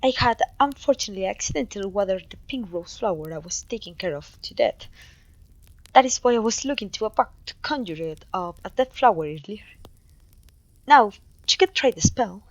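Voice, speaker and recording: A woman's voice: medium pitch, expressive, fairly quick, no echo, close to an everyday voice, poor audio. A woman with a Mexican accent playing a clumsy, cheerful witch teacher in an ASMR roleplay, theatrical and genteel in her encouragement. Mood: gentle, instructive. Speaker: voice actor.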